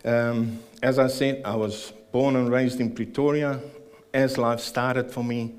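A man with a South African accent sharing his personal testimony and prayer at a church service, earnest and a little nervous, with halting fillers. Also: mid-range voice, fairly even intonation. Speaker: church member giving testimony.

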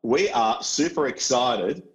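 A man co-hosting a podcast, asking measured questions with some fillers. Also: mid-range voice, animated, rough recording. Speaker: podcast co-host. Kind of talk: podcast interview.

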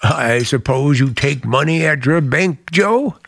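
A man's voice: mid-range voice, animated, measured pace, creaky, a bit bright, slightly rough, heavily acted. A man playing a charming but bitter, secretive uncle in an American radio drama, with a hesitant, brooding manner. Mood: smooth, generous. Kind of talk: mid-century American radio drama acting.

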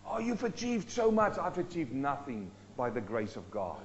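A man from South Africa preaching a sermon, swinging between loud rising questions and low, slow emphatic drops. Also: huge pitch swings, dull audio, a little hiss or hum. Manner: dynamic, rising and dropping sharply for emphasis.

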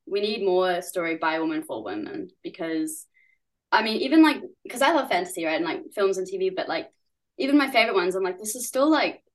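A woman's voice: fairly low pitch, animated, brisk, rough recording. A young woman from New Zealand, a musician guest chatting casually in an interview, full of "like" fillers and giggles.

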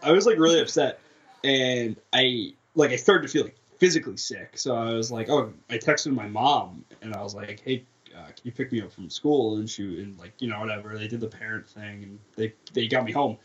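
A man's voice: mid-range voice, a little fast, lo-fi sound. A young man co-hosting a podcast, talking in a laid-back way about music, swearing casually and trailing off. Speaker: podcast co-host.

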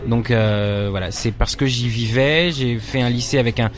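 French guy, young actor-director, lots of euh and restarts, mid-pitched voice. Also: fairly even intonation, moderate pace. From France. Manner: hesitant, many fillers and restarts. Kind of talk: interview answers.

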